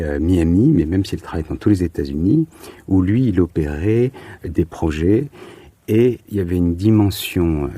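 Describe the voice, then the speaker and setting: Deep, husky man with a mellow tone. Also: dry recording. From Marseille, southern France. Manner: hesitant, searching, repeating words for emphasis. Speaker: architect, interview guest. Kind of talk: conversational interview answers.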